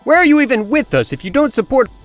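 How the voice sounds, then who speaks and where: A woman's voice: high voice, very animated, quick pace, faint music behind. A woman voicing an anxious pig revolutionary in a comic dub, fretful and indignant. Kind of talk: comic-book dub acting.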